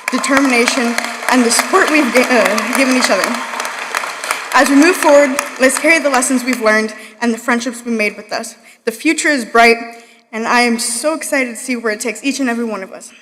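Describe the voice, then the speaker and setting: A woman's voice: on the high side, a little fast, a crowd in the background, noisy background. A young woman, a graduating student, reading her graduation speech carefully with occasional stumbles in a large hall. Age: young adult.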